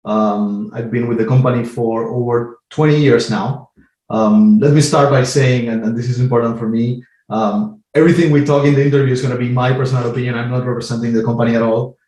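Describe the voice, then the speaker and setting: A man from Argentina, a guest in an interview, talking in a measured way with many pauses and repeated words while he thinks. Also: medium pitch, fairly even intonation, a little mellow. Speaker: guest, IT team lead. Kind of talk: casual interview talk. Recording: roomy echo.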